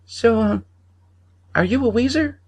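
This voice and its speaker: Curious, innocent boy of six, voiced by a male narrator, pitched high, earnest and polite, for an audiobook. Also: lively intonation, a little slow, a little mellow, breathy edge, heavily acted. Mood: curious, wary.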